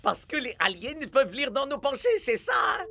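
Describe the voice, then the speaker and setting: A man voicing an excitable, gullible and over-eager young male footballer in a cartoon dub. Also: extremely high pitch, moderate pace, over music, full character voice. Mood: eager, convinced. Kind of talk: animated cartoon dub acting.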